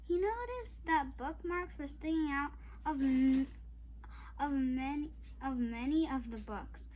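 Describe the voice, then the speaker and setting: Female storybook reader, high pitch, halting delivery, audible background noise. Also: darkish tone, muffled recording. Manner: halting, stumbles over long words. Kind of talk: read-aloud of a children's chapter book.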